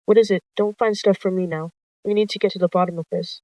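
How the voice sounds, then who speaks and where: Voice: medium pitch, fairly even intonation, fast talker, darkish tone, no echo, natural voice. A narrator voicing a protective male cat deputy in an animal roleplay story, insistent and confrontational. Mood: urgent. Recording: dull lo-fi close dry recording.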